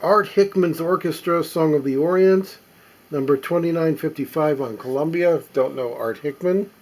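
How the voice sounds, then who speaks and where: Husky man musing over old records. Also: on the high side, a little mellow, low-quality recording. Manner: reads labels aloud haltingly, musing to himself. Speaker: record collector. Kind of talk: casual running commentary while sorting records.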